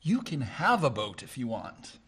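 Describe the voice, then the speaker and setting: An actor playing a smug, patronizing wealthy suitor. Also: male voice, medium pitch, huge pitch swings, unhurried, fairly bright tone, slightly rough, full character voice. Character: smug condescending rich suitor. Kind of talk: stage-play acting.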